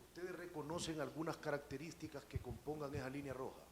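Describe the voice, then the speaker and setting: A man's voice: fairly high pitch, steady tone, brisk. Man asking a question in a hall. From El Salvador. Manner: earnest, uses vos. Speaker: audience questioner. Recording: reverberant hall with faint noise.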